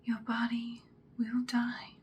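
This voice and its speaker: Woman doing an ancient vampire lady asmr roleplay, breathy slow whisper, seductive n quietly menacing, bit of hiss. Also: on the high side, monotone. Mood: calm, instructive. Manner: slow, deliberate, intimate. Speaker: ASMR performer.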